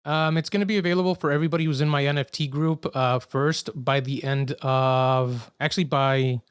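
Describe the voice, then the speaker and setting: A man's voice: medium pitch, fairly even intonation, fairly quick, clear, resonant tone, no echo, clean studio sound. A man hosting a podcast, talking in a laid-back, casual way with lots of "like" and "right?". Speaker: podcast host.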